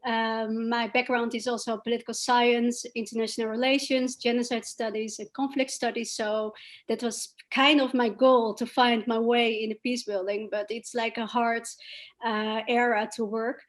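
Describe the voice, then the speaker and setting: A woman with a fairly high voice talks about herself informally and reflectively. She speaks in halting phrases, searching for her words. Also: little pitch movement. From Chile-born, grew up elsewhere. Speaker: activist introducing herself. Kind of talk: informal, reflective personal talk.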